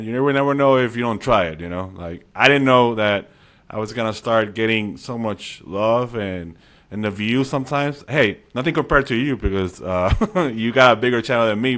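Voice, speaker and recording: A man's voice: mid-range voice, fairly bright tone. A man whose first language is Spanish, a guest in a casual interview, speaking English in an upbeat, encouraging way. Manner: upbeat, encouraging, says "you know" and "man" often.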